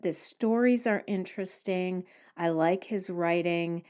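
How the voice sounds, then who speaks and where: A woman podcast host giving casual recommendations in a solo monologue, speaking in a conversational, measured way. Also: fairly low pitch, a little mellow, clean tone.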